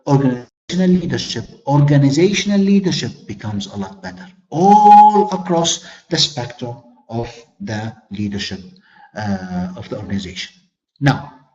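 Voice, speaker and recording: A man whose first language is Arabic teaches a leadership webinar, his voice sitting a touch higher than most men's. He is earnest, asks rhetorical questions and restates his points. The audio is dull and lo-fi, as from a web call. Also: darkish tone. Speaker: corporate trainer. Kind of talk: webinar lecture.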